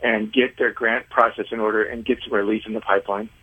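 A man being interviewed as a guest on a radio show, explaining things earnestly in halting phrases with repeated words. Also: fairly low pitch, flat delivery, breathy edge. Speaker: interview guest, independent venue organizer. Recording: tinny phone-like line.

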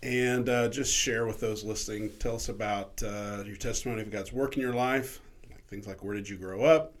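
A man co-hosting a church podcast, gently interviewing a guest with a hesitant manner. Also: fairly low pitch, steady tone, normal speed. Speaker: podcast co-host. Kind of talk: casual interview chat.

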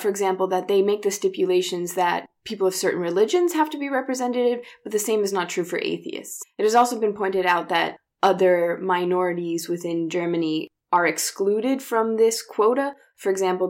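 A woman's voice: medium pitch, darkish tone. A woman co-hosting a discussion podcast, explaining and criticizing in an earnest, conversational way. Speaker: podcast co-host.

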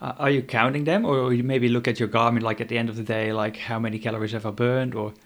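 A man asks interview questions in a relaxed, halting way, repeating words and restarting as he goes. His voice sits at an ordinary middle pitch. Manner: hesitant, repeats words while forming questions.

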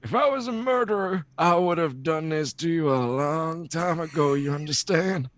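A man voicing an angry old supervillain, ranting and menacing in a breathy, straining, out-of-breath voice in a comic-book reading. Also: a little high, lively intonation, moderate pace, fairly bright tone, no room sound, pitched below the performer's own voice. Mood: menacing, irritated.

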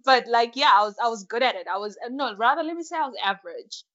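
A woman's voice: a little high, lively intonation, quick pace, bright, forceful tone, lo-fi sound. A woman in her twenties with a South African accent, chatting casually as an interview guest in a self-deprecating way. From Malawi, grew up in South Africa from about age six. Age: 20s. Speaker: interview guest, science postgraduate student.

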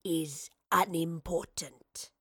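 Ancient hissing wraith, dreadfully slow. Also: female voice, fairly low pitch, fairly even intonation, fairly bright tone, dry recording, clean studio sound, heavily acted. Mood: sinister certainty. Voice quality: hissing, breathy, whispery. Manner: very slow, drawn-out, ominous. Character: menacing ancient wraith creature wearing a stolen human body.

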